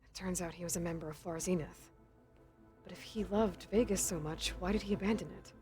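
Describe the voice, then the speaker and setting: A woman's voice: fairly low pitch, a little fast, lightly acted. A woman voicing a determined, curious young hunter in a video game, speaking in a grounded, inquisitive way, with faint music behind.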